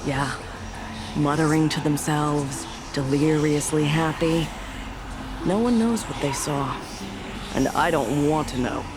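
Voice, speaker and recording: A woman's voice: low voice, takes it slowly, pauses often, background noise. A woman playing a sardonic, world-weary fake hospital doctor in a video game, with a wry, storytelling delivery. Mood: ominous, warning. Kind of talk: animated video game voice acting. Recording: music behind the voice.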